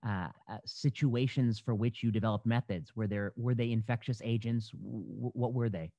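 A man's voice: mid-range voice, fairly even intonation, moderate pace, dark tone, no room sound. A young man hosts a podcast interview from home through a headset. He thinks aloud, with fillers and restarts. The sound is dull and dry. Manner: thoughtful, hesitant, many fillers and restarts. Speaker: podcast host. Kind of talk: conversational interview. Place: home room. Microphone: headset mic on a video call. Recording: dull, dry video call audio.

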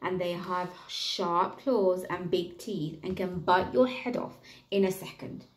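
A woman's voice: fairly low pitch, slow pace, echoey room. A woman voicing a small, earnest lost boy in a children's storybook, emphatic and dramatic. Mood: emphatic warning. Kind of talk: children's storybook read-aloud.

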